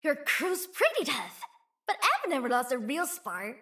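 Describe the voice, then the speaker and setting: This is a cheeky, greedy young chief from a game. The voice sits very high and bright, with lively swings in pitch. She talks at an unhurried pace and keeps a teasing, bouncy manner. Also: exaggerated voice. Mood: playful. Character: playful, greedy young female chief, mischievous. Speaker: voice actor. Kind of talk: game character voice lines.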